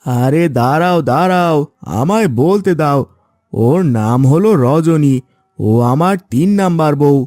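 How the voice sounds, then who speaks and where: An exasperated cartoon farmer husband played by a man, with a high voice that moves in wide, animated swings. He complains theatrically and emphatically, and the recording is dry and close. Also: measured pace, dry recording. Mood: firm, insistent. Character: exasperated village farmer husband with quarrelling wives. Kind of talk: cartoon fairy-tale voice acting.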